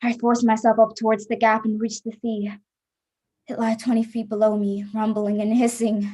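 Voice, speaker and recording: A woman acting a hunted, defiant adventurer in a radio play. The voice is fairly high and keeps to a narrow range, telling the tale in dramatic, suspenseful first person. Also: flat delivery, moderate pace, mellow, natural voice. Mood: tense, desperate. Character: hunted adventurer narrating her escape, tense and defiant.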